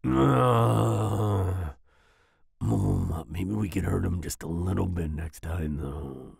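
A man playing a volatile but soft-hearted werewolf boyfriend in an ASMR roleplay, growling and raspy, with sighs and groans. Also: deep voice, dry recording, clean studio sound, full character voice. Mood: softened, flustered. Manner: snarling outbursts, sighs and groans, slow brooding delivery. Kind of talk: ASMR roleplay audio drama.

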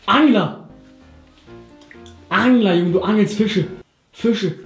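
A young man chatting casually and excitedly in as he plays a guessing game with friends. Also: high-pitched, slow. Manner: excited, slangy exclamations. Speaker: player guessing in a pantomime game. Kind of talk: casual joking game chat among friends. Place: echoey room indoors at home. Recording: echoey room with music behind.

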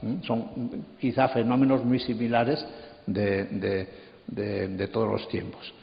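A man's voice: lowish voice, little pitch movement, takes it slowly, creaky. A man, speaking informally on a panel in a hesitant, self-deprecating way. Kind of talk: informal conference talk. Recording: echoey, muffled hall sound.

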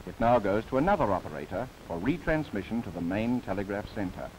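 A man narrates in a lively, rising-and-falling newsreel manner, his voice sitting fairly low. He slips in wry, playful asides. The sound comes from an old film soundtrack with a faint hiss underneath. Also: fairly quick. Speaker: documentary narrator.

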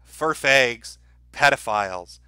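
A man's voice: mid-range voice, expressive, takes it slowly, bright, forceful tone, clear, resonant tone, no room sound. A man giving casual livestream commentary as host, with a sardonic, mocking and profane way of talking.

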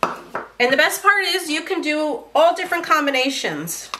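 A woman's voice: high-pitched, expressive, normal speed, background noise. A woman in her thirties giving a friendly step-by-step cooking demonstration in her home kitchen. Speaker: home cook.

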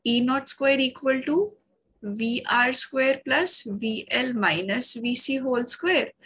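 Indian-accented woman physics teacher, sore-throated and husky, step-by-step online lecture. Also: a little high, fairly bright tone, poor audio. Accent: Indian English. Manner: explains step by step, addressing the class often.

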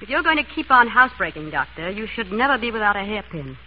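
An actress playing a breezy, witty, stubborn amateur sleuth in an old-time radio drama, bantering briskly. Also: female voice, mid-range voice, big pitch swings, close to an everyday voice. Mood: teasing. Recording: muffled, noisy vintage radio recording.

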